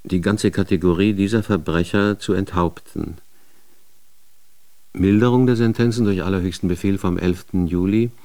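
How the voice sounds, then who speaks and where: A man's voice: sits low, fairly even intonation, normal speed, warm tone. A man narrating a radio drama in a formal, documentary manner, announcing like an official reader of records. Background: music.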